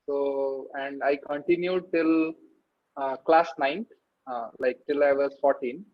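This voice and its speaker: A man in his thirties with an Indian accent, answering interview questions casually and hesitantly, with frequent pauses. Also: medium pitch, steady tone, lo-fi sound. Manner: halting, hesitant delivery with many pauses. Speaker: interview guest, artist.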